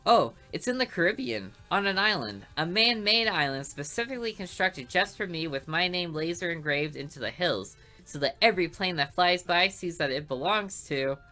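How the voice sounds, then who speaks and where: A woman's voice: on the low side, expressive, moderate pace, few pauses, soft background music. A woman voicing a brash, show-off teenage boy with big dreams, reading a visual novel aloud. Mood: boastful. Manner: boastful and loud.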